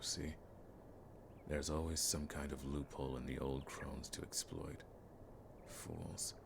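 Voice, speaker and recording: A man playing a seasoned, world-weary but dryly friendly monster hunter in a fantasy audio roleplay, speaking in a measured, wry way with old-fashioned phrasing. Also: noisy background. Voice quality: very deep, gravelly.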